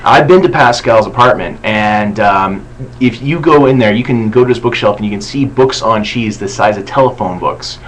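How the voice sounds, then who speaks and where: A man hosting a casual campaign video chat, relaxed and self-deprecating, with lots of fillers. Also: on the low side, normal speed, a little hiss or hum, rough recording. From Canada. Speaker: host.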